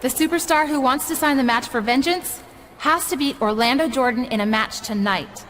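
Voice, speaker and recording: A woman's voice: sits high, moderate pace, close to an everyday voice. A woman playing an earnest wrestling personality in a video game. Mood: worried. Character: earnest female wrestling personality explaining terms. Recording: noisy background.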